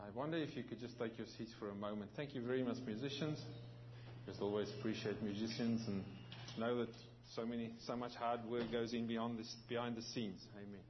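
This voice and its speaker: A man's voice: medium pitch, fairly even intonation, highs cut off, a little hiss or hum. A man from South Africa with a South African accent tinged by New Zealand, preaching as a visiting pastor with frequent restarts and fillers. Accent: South African with some New Zealand. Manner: halting, many restarts and fillers. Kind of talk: sermon.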